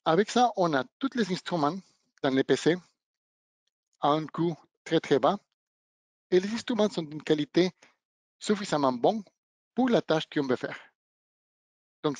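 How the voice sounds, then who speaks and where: A man who is not a native speaker of the language, giving a webinar demonstration of training equipment and pausing often to search for words. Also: fairly high pitch, lively intonation, dry recording. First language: not French. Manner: halting, searching for words, non-native phrasing. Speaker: product trainer.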